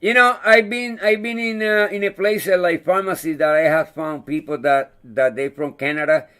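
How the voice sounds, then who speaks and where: A man whose first language is not English, hosting a casual live chat, talking in a halting way and searching for words. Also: a little high, fairly even intonation, sharp tone, smooth. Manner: friendly, thanks viewers by name. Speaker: livestream host.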